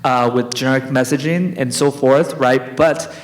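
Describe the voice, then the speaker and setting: A man's voice: mid-range voice, moderate pace, low-quality recording. A man speaking as a conference panelist, explaining step by step and often checking in with "right?". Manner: explanatory, tags points with "right?". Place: conference hall.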